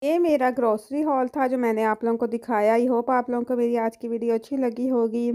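A woman's voice: fairly high pitch, steady tone, brisk, mellow. A woman in her thirties, from Pakistan, chattily listing groceries one by one in a casual home vlog, filmed on a handheld phone. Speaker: vlogger. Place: home floor strewn with groceries. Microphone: handheld phone camera. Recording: noisy home phone recording.

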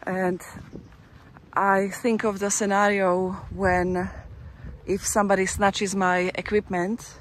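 A woman's voice: mid-range voice, little pitch movement, takes it slowly, a little hiss or hum, low-quality recording. A woman chatting casually to her viewers while walking through a town, rambling with restarts, recorded on a handheld camera outdoors. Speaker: travel vlogger. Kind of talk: casual walking-tour vlog commentary.

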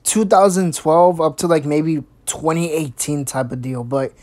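A woman's voice: fairly even intonation, measured pace, a little mellow. A woman hosting a mocking reaction commentary, sarcastic and crude, swearing freely and stumbling over restarts. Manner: sarcastic, swears a lot, mimics in squeaky voices. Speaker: host.

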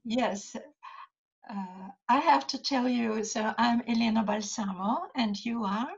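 A woman's voice: a little high, slow, husky. An older woman with a Russian accent, a writer and translator speaking in a video call, hesitating often as she searches for English words. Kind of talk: casual conversation over video call. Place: home study with bookshelves. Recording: dull lo-fi video call audio.

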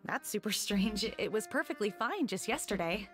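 A woman's voice: mid-range voice, lively intonation, moderate pace, faint music behind, lightly acted. An actress voicing an earnest young woman assistant on a film crew in a video game. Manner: helpful, matter-of-fact.

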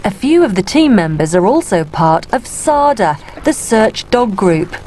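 British woman doing documentary voice-over, calm and steady, noisy background. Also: medium pitch, a little slow. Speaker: documentary narrator. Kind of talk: scripted narration.

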